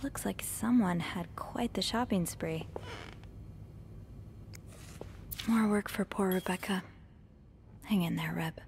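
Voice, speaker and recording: Gentle, curious hotel maid sleuth. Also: female voice, lowish voice, measured pace, vocal fry, slight background noise. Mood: curious, musing. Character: nosy, empathetic hotel maid, young woman sleuth. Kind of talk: video game voice acting.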